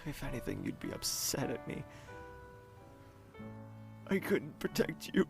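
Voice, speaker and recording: An actor playing a young crown prince haunted by grief, speaking in a brooding, halting, dramatic way. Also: male voice, mid-range voice, big pitch swings, vocal fry, music in the background. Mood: brooding, sorrowful. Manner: brooding, anguished, halting delivery. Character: grieving young crown prince haunted by his sister's death. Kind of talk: dramatic audio drama monologue.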